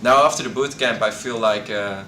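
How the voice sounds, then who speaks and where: Lowish guy talking plainly, echoey room, noisy background. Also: moderate pace, bright, forceful tone. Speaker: bootcamp graduate. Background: ambient noise.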